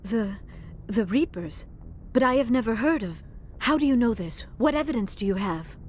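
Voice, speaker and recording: A woman's voice: a little high, lively intonation, moderate pace, darkish tone, a little hiss or hum, close to an everyday voice. A woman playing an earnest, bookish young alien scientist in a video game, speaking formally and precisely. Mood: fascinated. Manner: formal, precise wording with few contractions. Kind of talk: video game cutscene acting. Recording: music behind the voice.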